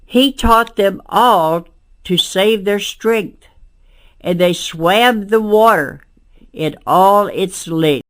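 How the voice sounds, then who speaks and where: A woman in her nineties reading a rhyming children's book aloud, phrase by phrase with deliberate pauses. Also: medium pitch. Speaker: amateur story reader.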